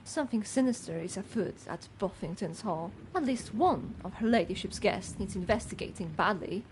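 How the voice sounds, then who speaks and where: A woman's voice: mid-range voice, lively intonation, normal speed, a little hiss or hum, lightly acted, rough recording. A woman playing a gossipy, judgmental older housekeeper in a video game, with a fussy, haughty manner. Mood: haughty, inquisitive. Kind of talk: scripted game character dialogue.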